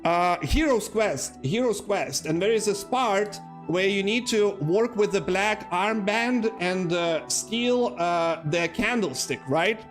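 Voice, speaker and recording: A man's voice: high-pitched, animated, takes it slowly, few pauses, faint music behind. A man from Lithuania with a Lithuanian accent, chatting loosely with his viewers as he plays, rambling and poking fun at himself. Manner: rambling, chatty, self-mocking, talks to chat. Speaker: streamer. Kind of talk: casual live-stream gaming commentary.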